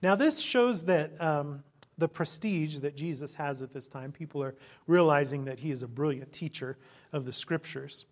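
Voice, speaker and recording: A hoarse male pastor preaching an earnest sermon, his voice sitting a little high. He talks in a preacherly way and often pauses with ums. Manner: prays in a soft, low voice.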